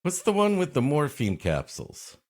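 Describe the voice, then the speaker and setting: A man's voice: on the high side, wildly swooping pitch, normal speed. A man co-hosting a comedy podcast, cutting in with rambling jokes. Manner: rambling, jokey interruptions. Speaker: podcast co-host.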